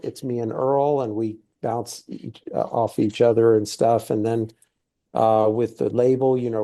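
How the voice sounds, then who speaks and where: A man's voice: lowish voice, creaky, warm tone. A middle-aged man who grew up in Thailand, talking casually in an interview with lots of fillers. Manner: easygoing, rambling with many fillers. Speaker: guest, TV series producer.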